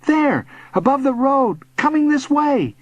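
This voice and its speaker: An earnest young boy, as a male narrator acts him out, high in pitch and lively in swing. He is urgent and stubborn, the anxious young hero of an audiobook tale. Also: takes it slowly, no echo, dull audio, heavily acted. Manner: urgent, pleading. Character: earnest young boy, defiant, worried for his uncle.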